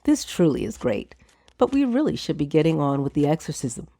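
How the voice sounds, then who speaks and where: A woman playing a brisk, businesslike wizard who owns a tower, in a fantasy audio drama. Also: low-pitched, very animated, mellow, no room sound, clean studio sound, heavily acted. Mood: brisk, matter-of-fact.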